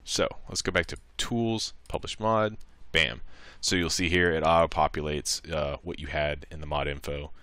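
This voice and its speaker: A man's voice: a bass voice, steady tone, moderate pace, hardly any pauses, sharp tone. A man presenting a modding tutorial as a casual screen-recorded walkthrough, explaining each step in an informal, offhand way.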